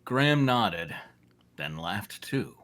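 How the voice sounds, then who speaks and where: Guy narrating a silly story, bright forceful voice, takes his time, sounds amused. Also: on the low side, sing-song, slow. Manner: amused, often breaking into laughter. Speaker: narrator in a group fan fiction reading. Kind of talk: scripted narration with joking asides.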